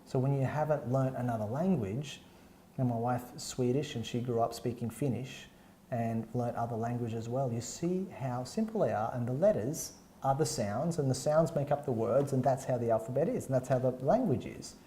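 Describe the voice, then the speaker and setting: Mellow-voiced Australian man interviewing. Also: medium pitch, normal speed, a little hiss or hum. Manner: chatty, rambling, with restarts. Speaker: interviewer, host. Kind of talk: casual interview chat. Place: small studio set with plants. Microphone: lapel mic.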